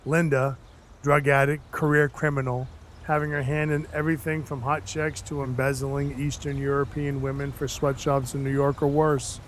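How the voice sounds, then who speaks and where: An actor playing a sardonic dwarf who works as Santa's enforcer, telling his story in a wry, mock-polite deadpan for an audio drama. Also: mid-range voice, normal speed, a little hiss or hum, close to an everyday voice. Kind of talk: first-person audio drama narration with dialogue. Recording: music behind the voice. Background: music.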